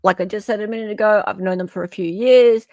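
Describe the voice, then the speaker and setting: Australian female livestream host, mid-pitched, casual but emphatic, repeats key phrases, close dry sound. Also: quick pace, mellow, no room sound. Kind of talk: casual live chat commentary.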